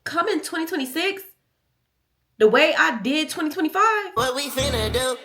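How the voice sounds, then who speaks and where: An American woman chatting casually and candidly to her vlog audience, playful and emphatic, with a slightly raspy, nasal voice. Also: sits high, lively intonation, moderate pace, fairly bright tone. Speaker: vlogger. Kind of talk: casual confessional vlog chat.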